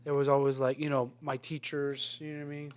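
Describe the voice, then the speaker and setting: A man's voice: medium pitch, flat delivery, fairly quick. A thirty-year-old man co-hosting a podcast, talking in a wry, rambling way with lots of swearing and frequent laughter. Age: 30s. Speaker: podcast co-host. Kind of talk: casual chat.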